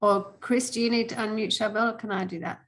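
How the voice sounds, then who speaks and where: A woman's voice: medium pitch, fairly even intonation, fast talker. A woman hosting an online meeting, informally passing on questions and comments. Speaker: meeting host, moderator.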